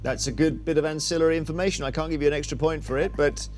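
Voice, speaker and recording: A British man presents a television quiz in a slightly high voice. He switches between scripted links and banter, with faint music underneath. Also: steady tone, racing, a little mellow. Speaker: quiz show host.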